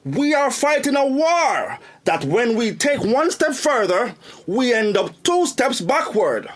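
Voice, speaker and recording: Worried cautious politician, jamaican accent, highish voice, lots of up and down, speechy radio play style. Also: male voice, sing-song, takes it slowly, full character voice. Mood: grave warning. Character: worried, cautioning male government minister. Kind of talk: radio drama acting.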